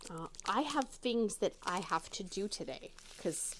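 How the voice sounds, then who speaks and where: A woman's voice: medium pitch, sing-song, measured pace, a little hiss or hum, low-quality recording. A middle-aged woman chatting casually at her dining room table while crafting, rambling and poking fun at herself. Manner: rambling, self-deprecating, chatty. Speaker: crafting channel host.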